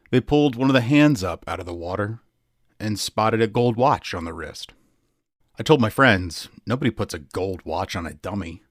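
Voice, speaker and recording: A man reading scripted true crime podcast narration as host, in a measured, emphatic way. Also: lowish voice, no room sound, studio quality. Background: music.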